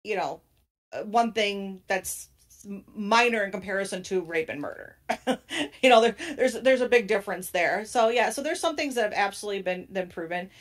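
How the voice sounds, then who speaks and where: A woman's voice: medium pitch, moderate pace. Earnest woman panelist. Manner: earnest, emphatic, laughs between points. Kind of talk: casual panel discussion.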